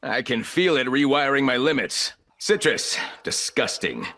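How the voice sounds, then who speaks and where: An actor playing an arrogant, ruthless, calculating corporate villain in a video game, speaking in clipped, condescending lines. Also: male voice, mid-range voice, expressive, unhurried, bright, forceful tone. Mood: dry, disdainful.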